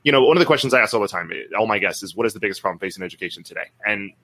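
Fast, bright-voiced man chatting on a podcast. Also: lowish voice, lively intonation. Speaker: podcast host and school administrator. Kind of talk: casual podcast conversation.

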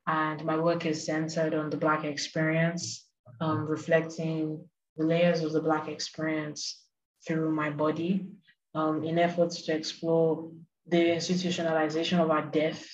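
A woman, a podcast guest and photographer, introducing herself thoughtfully over a video call. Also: highs cut off. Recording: distant echoey low-fi call with faint noise.

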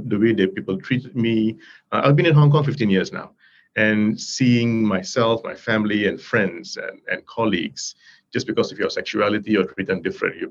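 A man's voice: lowish voice, slightly rough. A man speaking as a podcast guest, introducing himself conversationally with earnest passion. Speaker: podcast guest, diversity and inclusion professional. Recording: slightly dull, lo-fi sound.

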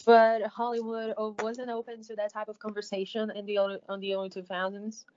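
A woman with a Brazilian Portuguese accent, co-hosting a podcast in a halting, casual way, with many fillers and restarts. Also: mid-range voice, little pitch movement, darkish tone. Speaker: podcast co-host. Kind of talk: casual recap chat. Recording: slightly dull lo-fi audio.

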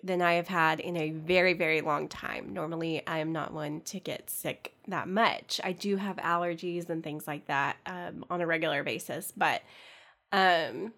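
A young woman hosting a casual solo podcast, chatty and rambling with lots of fillers and soft laughs, sounding congested from a cold. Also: deep voice, fairly even intonation, moderate pace. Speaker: podcast host.

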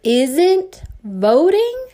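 A woman voicing an affectionate, gently teasing mother in a storybook reading, with emphatic, drawn-out phrasing. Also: extremely high pitch, sing-song, voice pushed up, full character voice. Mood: amused, disbelieving.

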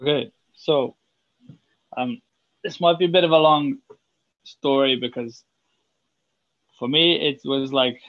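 A young Welsh man tells his story in a relaxed, rambling way, dropping in fillers as he goes. His mid-pitched voice has a gravelly fry. The sound is dull and lo-fi, with a dry, close feel. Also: dry recording. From Wales. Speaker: student guest. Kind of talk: casual round-table chat.

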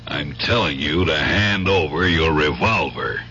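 A man playing a firm, patronizing police superior who reasons calmly in a 1970s radio drama. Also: rumbling low, unhurried, over loud music. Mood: firm, placating. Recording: dull, noisy 1970s radio recording.

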